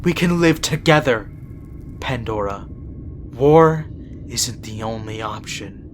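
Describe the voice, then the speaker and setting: Man acting an exasperated, principled vampire prince, mid-pitched. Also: expressive, slow, a little hiss or hum. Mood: impassioned pleading. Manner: sarcastic, reproachful, earnest. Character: principled vampire prince, exasperated younger brother, peace-minded. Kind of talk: audio roleplay acting.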